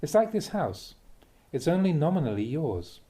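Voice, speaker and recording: A man reading a Buddhist teaching aloud as a Dhamma talk, in measured, deliberate phrases with emphatic contrasts. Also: a little high, sing-song, mellow.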